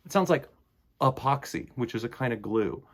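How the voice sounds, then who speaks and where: A man's voice: mid-range voice, lively intonation, moderate pace. A man hosting a children's storytime, reading aloud and chatting in a theatrical, emphatic way. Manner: theatrical, emphatic, swings between loud bursts and hushed asides. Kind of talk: animated read-aloud and chat to children.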